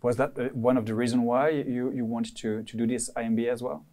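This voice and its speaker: A man's voice: mid-range voice, little pitch movement, a little fast, warm tone. Casual man asking stumbling questions. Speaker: interviewer.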